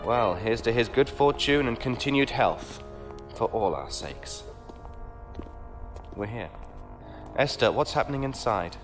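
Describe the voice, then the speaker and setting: A man's voice: mid-range voice, animated, a little slow, long pauses, over music, close to an everyday voice. A man playing a genteel knight who talks in a courtly, patronizing way with dry wit. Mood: wry. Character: genteel, condescending male knight with a ship.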